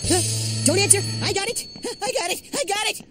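A woman voicing a jumpy, wisecracking school-age boy eager to belong, with a dramatic, sarcastic manner, in an animated series. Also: very high voice, brisk, over loud music. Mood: jumpy, nervous.

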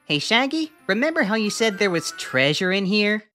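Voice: mid-range voice, sing-song, moderate pace, bright, forceful tone, soft background music. A performer voicing a small, eager, cheeky puppy in a cartoon, plucky and a bit bossy. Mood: chirpy. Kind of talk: cartoon voice acting.

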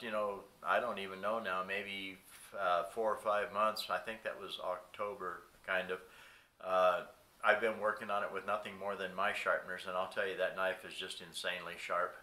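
Deep-voiced middle-aged man, bright roomy sound. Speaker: knife sharpener company host and seller. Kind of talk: casual phone chat with a customer. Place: hotel room, indoors. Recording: roomy hotel-room echo.

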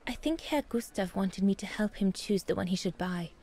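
An actress voicing a polite, earnest young woman in a video game, speaking in a measured way. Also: mid-range voice, animated, a little mellow. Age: young adult.

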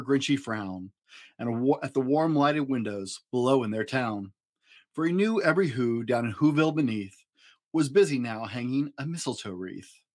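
Male storyteller, forties, mid-pitched and very animated, playful dramatic emphasis for young listeners. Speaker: dad storyteller, host. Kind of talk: expressive children's storybook reading. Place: small indoor home studio room.

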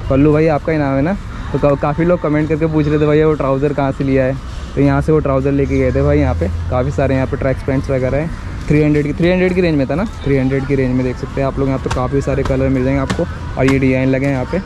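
A man's voice: medium pitch, little pitch movement, rapid-fire. A young man with an Indian accent gives casual, repetitive vlog commentary for his viewers, recorded on a handheld camera in the street. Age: young adult. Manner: informal, repetitive, explaining to viewers. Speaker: shopping vlogger. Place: outdoor street market and roadside. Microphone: handheld action camera at arm's length. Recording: noisy outdoor street with traffic. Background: street and vehicle noise.

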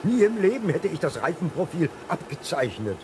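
A man's voice: fairly high pitch, big pitch swings, normal speed. A man playing a flustered, good-natured small-town policeman in a children's radio play. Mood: admiring. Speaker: actor. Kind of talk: 1970s German radio play acting. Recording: clear vehicle noise.

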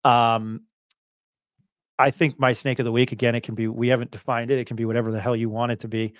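A man's voice: mid-range voice, level tone, fast, dry recording. A middle-aged man hosting a casual sports podcast with irreverent, slangy banter, speaking close on a podcast mic. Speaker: podcast host. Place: home studio, indoors. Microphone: close podcast mic on boom arm.

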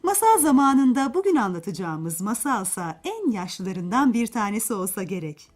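A woman storyteller reads for children in a fairly high voice. Her delivery is expressive, with wide, lively intonation, and music plays underneath. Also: moderate pace. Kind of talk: expressive scripted narration of a folk tale for children.

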